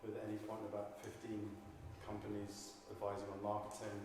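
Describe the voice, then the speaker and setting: A man with a lowish voice introduces himself as a marketing advisor. He speaks thoughtfully and corrects himself mid-phrase. He is distant from the mic in a very echoey hall with room noise. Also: completely flat delivery, brisk. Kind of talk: casual self-introduction.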